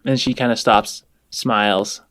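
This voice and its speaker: A man's voice: fairly low pitch, steady tone, measured pace, fairly bright tone, slight background noise. A man improvising narration as game master of a tabletop role-playing game. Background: music.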